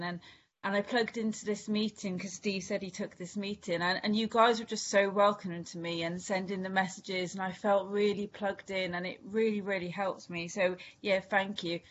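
A Welsh woman tells her recovery story in a halting, candid way, often restarting her sentences. Her voice sits at an ordinary middle pitch for a woman. It comes through muffled, lo-fi call audio. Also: fairly bright tone. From Wales. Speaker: recovery meeting speaker. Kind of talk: personal share at a recovery meeting.

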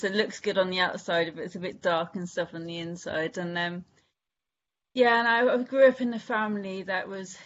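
A Welsh woman from Wales speaking candidly at a recovery meeting, halting with many restarts and fillers. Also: on the low side, a bit bright, dull audio. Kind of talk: personal share at a recovery meeting.

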